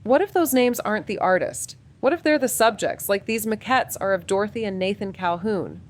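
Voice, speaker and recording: A woman's voice: medium pitch, animated, a little fast, slight background noise, lightly acted. An actress playing a skittish, wisecracking female amateur sleuth in a mystery audio drama, blunt, colloquial and sarcastic. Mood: puzzled, uneasy. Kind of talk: neo-noir audio drama dialogue and narration.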